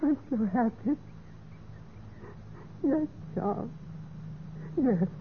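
Trusting young heiress, genteel, earnest, golden-age radio drama, narrow telephone-like sound, faint noise. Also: female voice, high voice, lively intonation, drawn-out words, heavily acted. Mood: happy. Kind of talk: 1940s-50s radio mystery acting. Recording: noisy band-limited old radio recording.

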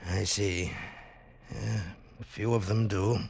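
Gravelly, slow, pitying elder. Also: male voice, on the low side, fairly even intonation, a little mellow, heavily acted. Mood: pitying calm. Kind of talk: 1940s radio drama acting.

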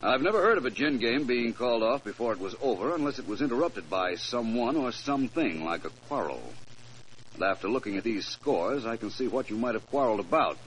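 An American actor playing a suave, coolly probing private detective with polite, measured questioning in a 1940s radio drama. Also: male voice, mid-range voice, animated, lightly acted. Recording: noisy vintage 1940s radio recording.

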